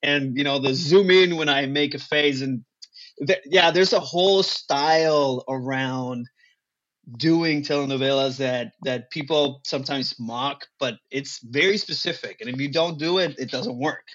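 A man whose first language is Spanish, a guest talking casually about acting and languages in an animated, emphatic way. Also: medium pitch, a little slow, lo-fi sound. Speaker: guest, actor. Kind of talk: casual interview talk.

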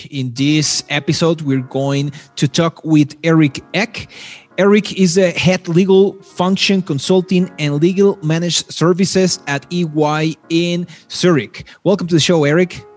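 A man's voice: a little high, measured pace, faint music behind. A man hosting a podcast, introducing the show and asking his guest about his career. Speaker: podcast host. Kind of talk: interview hosting.

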